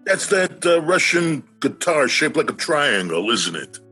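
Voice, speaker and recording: A man's voice: on the high side, big pitch swings, unhurried, sharp tone, breathy edge, soft background music, exaggerated voice. An actor playing an eccentric, philosophical man who is cheerfully weird, in a whimsical, musing radio play manner. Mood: casual, teasing. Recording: home-recorded virtual radio play.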